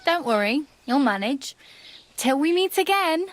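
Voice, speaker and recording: A woman's voice: sits high, animated, a little slow. An actress playing a frank, teasing and helpful young village woman in a video game. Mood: reassuring. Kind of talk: video game character dialogue.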